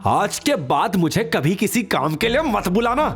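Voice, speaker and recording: A man voicing a stern underworld killer boss in an audio drama, forceful and commanding. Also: high voice, big pitch swings, a little slow, soft background music, voice pushed up. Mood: angry.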